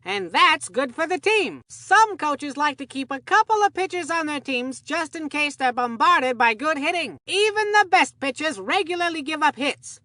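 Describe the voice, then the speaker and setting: An eager young boy calling baseball for a cartoon video game. The voice is high and bright, with an earnest, folksy, chatty delivery like a seasoned little veteran of the league. The sound is dry and studio-clean. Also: lively intonation, normal speed, hardly any pauses, no room sound. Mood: matter-of-fact. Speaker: sports commentator. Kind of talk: cartoon game sports commentary.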